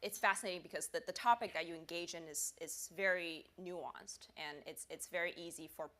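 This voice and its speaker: A woman's voice: fairly low pitch, a little fast, fairly bright tone. A woman moderating a conference panel, asking long searching questions full of fillers and hedges. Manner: searching, hedging with many fillers.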